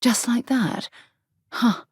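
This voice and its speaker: An actress playing a principled, warm, battle-tested space explorer and former commander in a video game, earnest and articulate. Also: female voice, on the high side, slow, breathy edge, dry recording, clean studio sound, full character voice. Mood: stern. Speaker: game companion character. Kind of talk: video game voice acting, dramatic dialogue.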